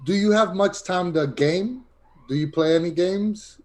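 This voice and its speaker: A man's voice: high voice, a little slow. A man speaking casually as a podcast interviewer.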